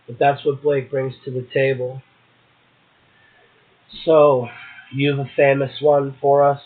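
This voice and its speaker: A man's voice: mid-range voice, flat delivery, unhurried. A man hosting a poetry show, reading verse and prose aloud and commenting on it casually. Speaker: poetry podcast host, poet. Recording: muffled, tinny phone-like audio.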